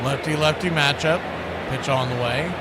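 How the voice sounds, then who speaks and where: High-pitched man calling baseball over noise. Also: normal speed. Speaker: baseball play-by-play radio host. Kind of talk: play-by-play commentary mixed with casual chat.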